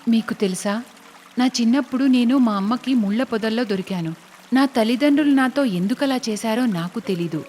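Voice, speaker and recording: A woman's voice: fairly high pitch, normal speed, a little mellow, a little hiss or hum, close to an everyday voice. A woman voicing a plucky young village vegetable seller in a cartoon story, speaking earnestly and respectfully. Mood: earnest, encouraging. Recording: long reverb, music behind.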